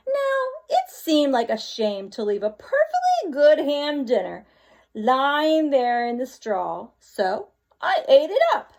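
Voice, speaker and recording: A woman voicing a chatty, self-excusing male wolf from a children's picture book, with exaggerated, drawn-out emphasis. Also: high voice, a little mellow, full character voice.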